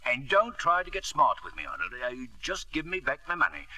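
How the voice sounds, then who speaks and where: An actor playing a nervous, indignant business partner in 1950s radio drama, husky, blustering and stammering with agitation. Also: male voice, mid-range voice, wildly swooping pitch, fairly quick, sharp tone, no echo, exaggerated voice, poor audio. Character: nervous, indignant small-time business partner, easily alarmed.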